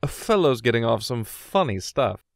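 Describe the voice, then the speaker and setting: An actor playing an arrogant, wealthy husband, aloof and clipped, in an audiobook drama. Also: male voice, medium pitch, sing-song, normal speed, creaky, no echo, clean studio sound. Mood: pleasantly dismissive.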